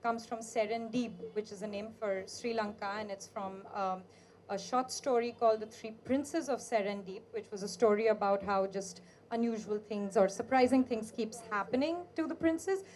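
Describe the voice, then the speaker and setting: A woman interviewer with a mid-pitched, mellow voice, asking about a book in a chatty, loose way. She restarts her sentences and fills pauses with "um" and "uh". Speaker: interviewer, host.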